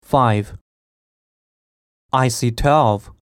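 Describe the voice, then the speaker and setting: A woman reading a scripted language listening test with careful, deliberate enunciation. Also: a bass voice, no room sound, clean studio sound.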